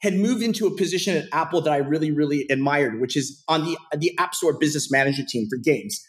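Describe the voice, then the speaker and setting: A man from Portland, Oregon, in his thirties, talking fast with a slightly raised pitch. He tells his own career story loosely, stacking fillers and restarts as he goes. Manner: rapid, animated storytelling with frequent fillers. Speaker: podcast guest. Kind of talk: casual interview storytelling.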